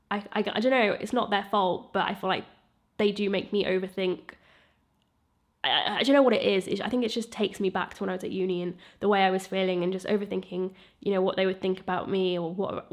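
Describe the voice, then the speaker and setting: A woman in her thirties, sounding nasal from a cold, talking candidly and a bit ramblingly to camera. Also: medium pitch. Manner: candid, rambling, self-reflective. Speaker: YouTuber. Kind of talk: casual vlog talk to camera.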